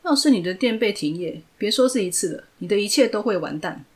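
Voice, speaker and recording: A woman's voice: mid-range voice, animated, fast, close to an everyday voice. A woman voicing a cool, blunt teenage girl genius who prods with questions. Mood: prodding.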